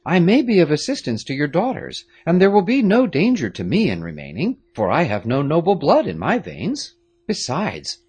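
A man voicing a brave, thoughtful young Englishman who speaks quietly but firmly in an audiobook. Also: fairly high pitch, slightly rough, voice pushed up, heavily acted.

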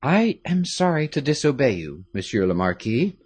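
Man doing a quiet, resolute young Englishman. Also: medium pitch, big pitch swings, normal speed, breathy edge, voice pushed up. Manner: quiet but firm. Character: brave, thoughtful English youth, polite but resolute.

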